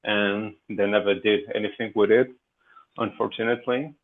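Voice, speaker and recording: A man's voice: on the low side, steady tone, moderate pace. A man with an accent mixing French and Portuguese, chatting casually as a podcast guest, hesitant with many fillers. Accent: French and Portuguese mix. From France. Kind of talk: casual chat. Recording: tinny phone-like line.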